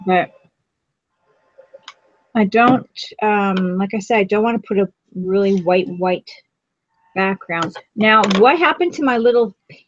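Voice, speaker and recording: Woman chatting away while painting, giggly, middle pitch, kinda dull lo-fi audio. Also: a little slow, pauses often, no echo. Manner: chatty, giggly, self-teasing. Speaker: craft stream co-host. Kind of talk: casual chat while painting.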